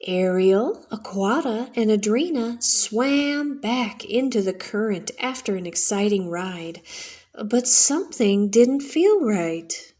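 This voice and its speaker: A middle-aged woman reading a children's story aloud as a storyteller, in a deliberate, expressive way. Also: medium pitch. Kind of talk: bedtime story narration, read aloud.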